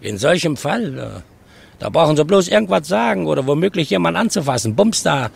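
An actor playing a defensive, self-justifying bystander in 1950s German radio style, on an archive recording. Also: male voice, fairly high pitch, big pitch swings, brisk, vocal fry, breathy edge, heavily acted. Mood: defensive, blustering. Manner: blustering, defensive. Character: evasive, self-justifying male bystander in vintage radio play.

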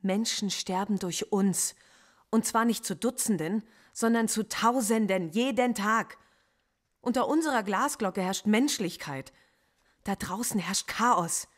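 An actress playing a defiant philosophy professor under police interrogation in a radio drama, impassioned and lecturing. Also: female voice, medium pitch, unhurried, lightly acted. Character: female philosophy professor suspect, defiant, intense.

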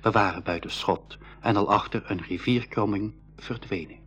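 A man's voice: lowish voice, moderate pace, a bit bright, muffled recording, soft background music, natural voice. A man playing an earnest young adventurer recounting his journey in a school radio play. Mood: earnest, reflective. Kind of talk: scripted first-person storytelling in school radio play.

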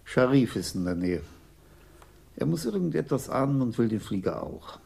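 An actor playing a commanding police commissioner in a German radio crime play, terse and authoritative, with a husky voice. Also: male voice, mid-range voice, big pitch swings, normal speed, a little mellow, heavily acted. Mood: resolute.

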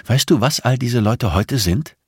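Man voicing a hard, bitter woman, pitched up. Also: expressive, unhurried, husky, no room sound, studio quality. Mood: hateful, resolute. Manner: insistent, cutting, sharply emphatic. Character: hard, bitter, vengeful young woman, hate-filled and dry.

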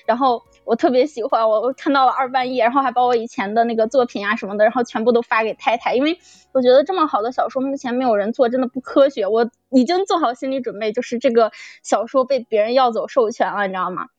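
Casting: effusive female guest in casual chat, very high voice, muffled lo-fi sound. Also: fast, dry recording. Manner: effusive, exclaiming, fangirlish. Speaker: audio drama producer and guest. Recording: dull lo-fi audio with music behind.

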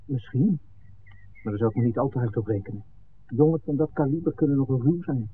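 Wary visiting film maker played by a man, casual and questioning, medium pitch, old radio drama. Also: fairly quick, husky, close to an everyday voice. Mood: cautious. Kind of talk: 1960s radio play acting. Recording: tinny old radio recording with noise.

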